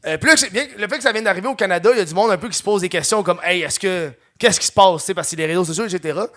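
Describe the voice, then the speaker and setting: A young man with a Quebec French accent hosts a podcast in front of a live audience. His voice sits high and sounds bright and forceful. He chats loosely, laughs a lot and breaks into shouted impressions when he quotes people. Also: rapid-fire. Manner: animated, acts out quotes in loud mock voices, laughs often. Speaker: podcast host. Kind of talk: live comedy podcast chat.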